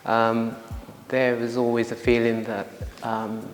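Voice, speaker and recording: A man's voice: medium pitch, completely flat delivery, slow pace. A man, a parent, speaking thoughtfully and with some hesitation in an interview. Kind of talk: interview testimony. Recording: echoey room with long reverb.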